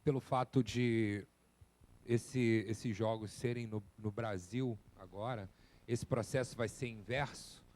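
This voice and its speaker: A man's voice: lowish voice, steady tone, slow, a little mellow. A man in a lecture audience asking the speaker a direct question. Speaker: student in the audience. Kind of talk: classroom question.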